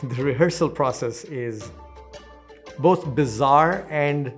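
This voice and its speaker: A theater artist speaks at a middle pitch in a reflective interview style. Faint music plays behind the voice. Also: slow.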